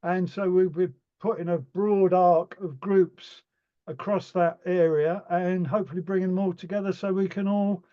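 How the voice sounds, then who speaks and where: A man's voice: sits high, fairly even intonation, normal speed, dull audio. A man from the English East Midlands giving a casual, wry update on an online call. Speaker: campaign group member.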